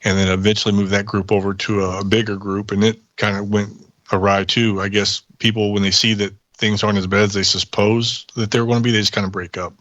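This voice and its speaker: A man's voice: fairly low pitch, little pitch movement, brisk, a bit bright, dry recording, lo-fi sound. A man talking casually as a guest, recounting his own experience.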